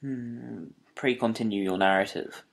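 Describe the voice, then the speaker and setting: An actor playing a detective's attentive companion and narrator in a dramatised audiobook, with polite, measured, formal period diction. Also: male voice, lowish voice, vocal fry, low-quality recording. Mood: attentive, curious.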